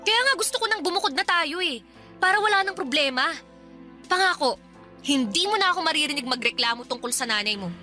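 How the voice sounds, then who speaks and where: Exasperated young mother, very high bright voice, sharp-tongued radio drama wife. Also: lively intonation, brisk. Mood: exasperated complaining. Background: soft background music.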